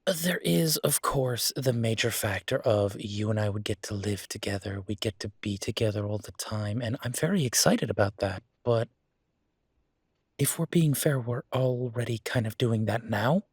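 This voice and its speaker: A man's voice: lowish voice, expressive, moderate pace, breathy edge, no room sound, clean studio sound. A man playing an affectionate young werewolf boyfriend in an intimate audio roleplay, talking in a casual, hesitant, rambling way. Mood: earnest, reassuring.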